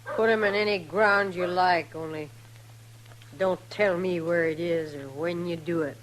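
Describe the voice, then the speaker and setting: A woman's voice: lowish voice, steady tone, unhurried, natural voice. Hard, bitter frontier woman played by an actress. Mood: cold, curt. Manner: curt, defiant, cold. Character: hard, bitter frontier mother and cattle rustler. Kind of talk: 1950s radio western acting. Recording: noisy 1950s radio drama recording.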